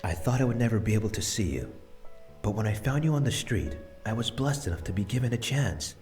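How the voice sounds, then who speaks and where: A man voicing the spirit of an elderly grandfather, speaking softly and patiently. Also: lowish voice, big pitch swings, fairly quick. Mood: tender. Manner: soft and tender, explaining patiently. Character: gentle elderly grandfather's spirit, loving and regretful. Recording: music bed under voice.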